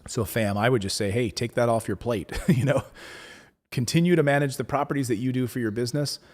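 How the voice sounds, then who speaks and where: A man hosting a live investing show, chatting casually, rambling with lots of fillers and restarts, his voice going hoarse. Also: medium pitch, brisk. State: losing his voice. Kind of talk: casual live-stream chat, reading viewer comments.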